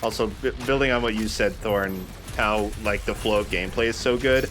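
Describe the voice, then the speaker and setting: A man's voice: on the low side, fairly quick, noisy background. A man hosting a casual let's play, explaining the game and giving his opinions, with some mild swearing. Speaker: host playing a video game.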